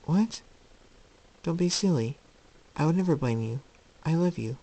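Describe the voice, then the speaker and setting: Loving fantasy royal mother, acted by a man: higher-pitched, soft, pleading, full of concern. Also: expressive, a little slow. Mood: relieved, tender. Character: gentle loving pony princess mother, worried.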